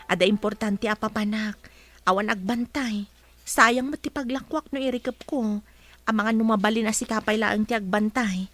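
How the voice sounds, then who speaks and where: A woman's voice: mid-range voice, normal speed, a bit bright, close to an everyday voice. A woman with an Ilocano Filipino accent playing an eager, insistent young bride-to-be in a radio comedy drama. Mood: eager, bossy.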